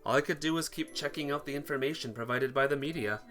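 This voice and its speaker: A man's voice: medium pitch, quick pace, a bit bright, soft background music. A man reading visual novel narration aloud as a playthrough host, occasionally stumbling over the text.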